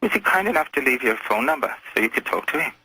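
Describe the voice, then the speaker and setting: A man calling in to a radio show, talking in a folksy, calmly menacing way. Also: medium pitch, quick pace, breathy edge, dry recording, low-quality recording. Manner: folksy, calmly menacing, addresses others as lad and lassie. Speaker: phone-in caller. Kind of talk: casual conversational radio call.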